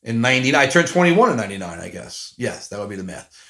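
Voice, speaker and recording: 40-something guy doing a solo podcast, rambles a lot, keeps stopping and restarting, mid pitch. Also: big pitch swings, fast talker, vocal fry, sharp tone. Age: 40s. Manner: rambling, self-deprecating, many fillers and restarts. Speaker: podcast host. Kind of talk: casual solo podcast monologue.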